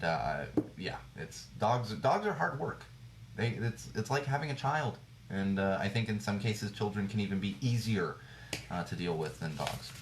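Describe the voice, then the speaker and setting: Indignant man ranting, slightly hoarse. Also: low voice, echoey room, slight background noise. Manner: indignant, blunt, repeats phrases for emphasis. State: slightly hoarse, losing his voice. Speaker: podcast host. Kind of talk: opinionated news commentary rant.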